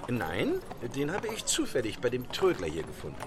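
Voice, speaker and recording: A stubborn middle-class father in a radio play. He is curt and firm, and his pitch jumps around very expressively. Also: wildly swooping pitch, moderate pace, a crowd in the background. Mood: irritated, indignant. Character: stubborn, indignant bourgeois father buying a desk.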